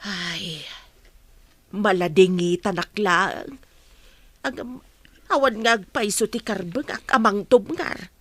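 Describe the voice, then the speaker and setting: A woman with an Ilocano accent acting a worried, soft-hearted housemaid mother in a radio drama. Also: mid-range voice, takes it slowly, long pauses, dry recording. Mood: troubled.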